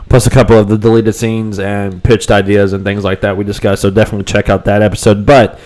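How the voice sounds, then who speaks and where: A man's voice: on the low side, little pitch movement, fast, darkish tone. A young man co-hosting a casual live stream. His voice cuts in and out from a cold, and he rambles with lots of ums and restarts. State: voice cutting in and out from a cold. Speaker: co-host of a fan podcast live stream.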